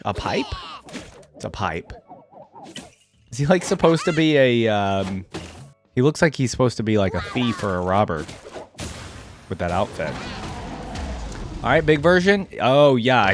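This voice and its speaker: A man giving casual reaction commentary as a gamer, thinking aloud in an informal way. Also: medium pitch. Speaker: gamer reacting to game character skins.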